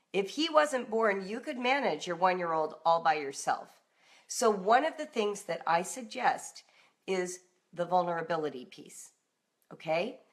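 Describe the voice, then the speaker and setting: A middle-aged woman with an American accent talks in an informal coaching style. Her mid-pitched voice is direct and conversational, and she stresses her points as she addresses listeners. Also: fairly bright tone. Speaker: parenting and homeschool coach, live broadcast host. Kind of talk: informal live coaching talk with acted-out example dialogue.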